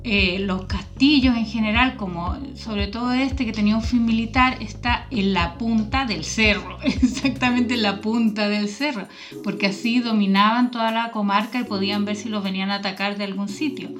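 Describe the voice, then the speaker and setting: A woman's voice: medium pitch, fairly even intonation, normal speed, fairly bright tone, soft background music. A woman from Chile chatting as a podcast guest, explaining things in an informal way. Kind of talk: casual chat, recounting a visit. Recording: slightly dull, band-limited sound.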